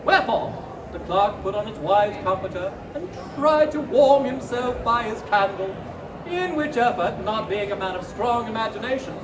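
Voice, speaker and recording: High-pitched male storyteller in echoey crowded hall. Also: expressive, normal speed. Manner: theatrical, savouring phrases. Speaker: storyteller, live reader. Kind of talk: theatrical dramatic reading of a classic story. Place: live performance at a busy indoor fair. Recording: echoey crowded fair hall, distant noisy mic. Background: crowd noise.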